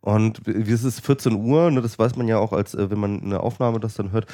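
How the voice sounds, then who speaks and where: Podcast host, man with a cold, low-pitched, rambling with fillers, dry room. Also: fairly even intonation, brisk, warm tone, no echo. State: has a cold. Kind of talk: casual chat.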